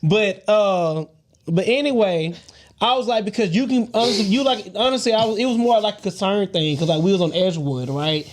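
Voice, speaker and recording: A man with a light voice, co-hosting a podcast and telling stories in a sassy, animated way, laughing often and swearing freely. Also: high-pitched, a little fast. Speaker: podcast co-host. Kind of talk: casual group chat, storytelling.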